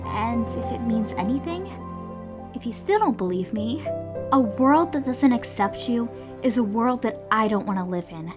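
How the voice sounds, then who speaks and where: A woman plays a dreamy, hopeful young girl with a high voice. She is gentle, earnest and a little wistful, in cartoon comic-dub style. Music is clearly heard underneath. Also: lively intonation, moderate pace, lightly acted. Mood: tender, reassuring. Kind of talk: scripted comic dub voice acting.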